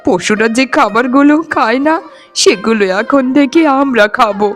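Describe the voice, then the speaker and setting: নারী কণ্ঠে উঁচু গলার দুঃখিনী বুড়ি মা. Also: female voice, fairly high pitch, normal speed, close to an everyday voice. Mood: sorrowful. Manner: plaintive, humble. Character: poor, humble, long-suffering old mother. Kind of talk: cartoon voice acting. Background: faint music.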